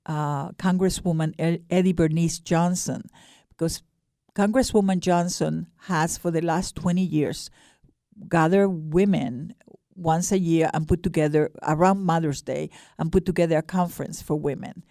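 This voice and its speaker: A mature woman with an Ecuadorian Spanish accent, speaking earnestly and haltingly as a guest in a radio interview. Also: low-pitched, fairly even intonation, warm tone, no room sound, clean studio sound. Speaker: guest, board director of a peace nonprofit.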